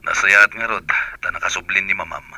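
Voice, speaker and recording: A deep-voiced, dependable male friend acted by a man in a radio play. He is a steady confidant, ready to help and listen. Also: a little fast, no echo, heavily acted, rough recording. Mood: attentive. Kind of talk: radio drama acting.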